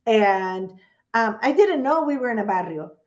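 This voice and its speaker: A woman's voice: a little high, lively intonation, moderate pace, a little mellow. A woman from Chicago hosting a podcast, asking rambling questions with lots of fillers. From Chicago, USA. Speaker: podcast host. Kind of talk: casual podcast conversation. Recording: dull lo-fi sound.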